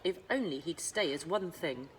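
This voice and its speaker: Appalled, fretful storybook wife, read by a woman, animated. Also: lowish voice, moderate pace, slight background noise, lightly acted, rough recording. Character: appalled, fretful wife and mother, at wits' end.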